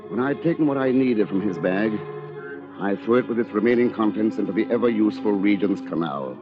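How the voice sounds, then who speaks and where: An actor playing a scheming, precise lawyer in an old radio drama, with coolly confiding delivery. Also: male voice, fairly low pitch, lively intonation, fairly quick, music in the background, natural voice. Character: scheming, coolly calculating male lawyer narrating his crime. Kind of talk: 1940s radio drama acting, first-person narration. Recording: dull, lo-fi old radio recording.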